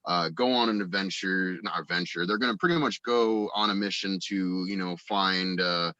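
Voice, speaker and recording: A man from the United States with a deep, bright-edged voice talks casually as a guest. He rambles and often stops to find his words. The recording is very dry and close, without room sound. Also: no room sound, highs cut off. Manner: halting, rambling, frequent verbal hedging. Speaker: guest, audio drama actor and writer. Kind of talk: casual interview chat.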